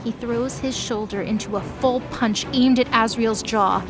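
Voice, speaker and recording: Higher-voiced woman narrating over prominent music. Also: fairly even intonation, moderate pace. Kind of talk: scripted audio drama narration.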